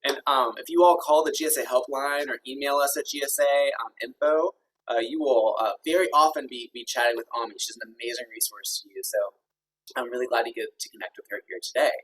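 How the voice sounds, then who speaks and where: A man's voice: medium pitch, fairly even intonation, brisk, fairly bright tone, husky, far from the mic, low-quality recording. A man in his thirties from Kentucky, an executive director hosting a virtual info session in an upbeat, friendly way with many ums and uhs. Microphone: video call.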